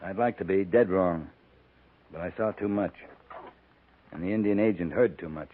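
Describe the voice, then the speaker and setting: A thin, tinny old radio recording of a seasoned frontier officer , played by a man. His voice sits low, and he talks in terse, grave, plain phrases. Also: normal speed, a little hiss or hum, lightly acted. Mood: grim, grave. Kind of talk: 1950s western radio acting.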